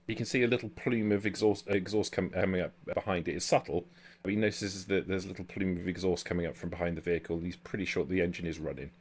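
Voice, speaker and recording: Deep-voiced man narrating a tabletop game. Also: steady tone, quick pace. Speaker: game master. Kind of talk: improvised narration of a tabletop role-playing game. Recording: background music under the voice. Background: music.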